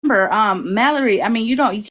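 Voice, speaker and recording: A woman's voice: a little high, fast talker, fairly bright tone. A woman chatting casually on a livestream panel, blunt and freely swearing. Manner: blunt, profane. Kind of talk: casual gossip chat.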